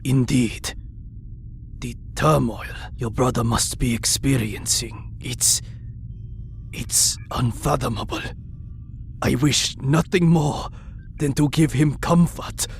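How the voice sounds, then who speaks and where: A man's voice: medium pitch, fairly even intonation, takes it slowly, gravelly, pauses often, slight background noise. A man playing a wise, sorrowful old rat father in an audio drama, speaking formally and solemnly.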